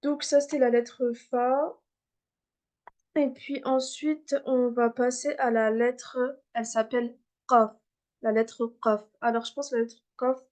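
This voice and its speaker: A fairly high-voiced woman teaches a beginner class over a video call. She is patient, says each sound again and again, and fills her pauses with small hesitations. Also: a little mellow. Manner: patient, repeats sounds many times, many fillers. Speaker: Arabic reading teacher.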